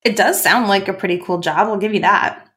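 A woman's voice: medium pitch, brisk, a bit bright. Enthusiastic middle-aged woman hosting. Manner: enthusiastic, effusive. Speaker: podcast host. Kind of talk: interview conversation.

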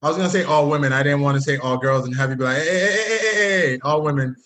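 A man's voice: on the high side, fast, a bit bright. A man hosting a podcast, chatting casually and teasing his old college friend. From Haitian family, grew up partly in Canada. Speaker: podcast host. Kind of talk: casual interview chat.

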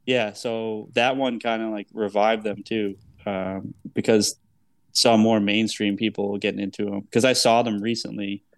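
A man's voice: on the low side, level tone, measured pace, dry recording. A man in his thirties hosting a podcast in a casual, chatty style. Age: 30s. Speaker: podcast host.

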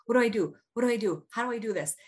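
A woman's voice: on the high side, animated, very fast. A woman hosting a faith-based interview, affirming her guest and asking thoughtful questions. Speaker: podcast host.

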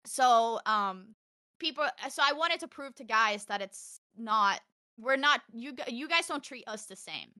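A young woman from Texas chatting on a podcast, loud and animated, telling stories fast with a close mic. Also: a little high, no echo. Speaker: podcast guest, streamer. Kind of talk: casual podcast chat.

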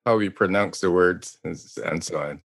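A man's voice: low voice, normal speed, gravelly, fairly bright tone. A man from Barbados with a Bajan accent, chatting casually as an interview host. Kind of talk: casual chat.

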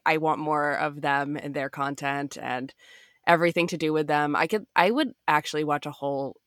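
Woman co-host, low voice, eager fan chatter, dry close mic. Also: a bit bright, smooth, no echo. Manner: enthusiastic, laughs often. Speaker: podcast co-host. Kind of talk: casual fan podcast chat.

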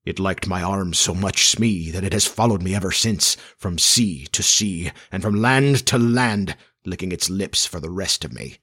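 Storybook villain: male reader as vengeful pirate captain, slow, brooding, theatrical, with a husky edge. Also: lowish voice, a bit bright. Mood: brooding dread. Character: menacing, brooding pirate captain with a haunted dread.

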